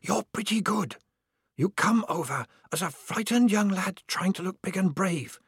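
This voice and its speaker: A man voicing an unseen, conspiratorial stranger in an audiobook, giving patient, wry advice in a hoarse, hushed whisper. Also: sits high, wildly swooping pitch, very slow, no room sound, clean studio sound, voice pushed up, exaggerated voice. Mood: wry advising. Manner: patient, hushed, matter-of-fact. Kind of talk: audiobook character voice.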